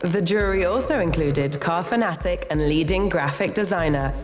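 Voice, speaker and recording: A woman reading scripted documentary narration in a measured, presentational way. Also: fairly low pitch. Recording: long reverb, loud music underneath. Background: loud music.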